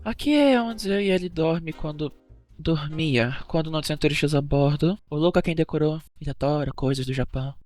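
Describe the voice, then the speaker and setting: A woman playing a touchy, evasive cruise hostess in an animated mystery, bickering and chatty, with a Brazilian accent. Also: deep voice, brisk, soft background music, close to an everyday voice. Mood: casual, chatty. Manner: defensive, gossipy, bickering. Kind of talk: animated murder-mystery dialogue.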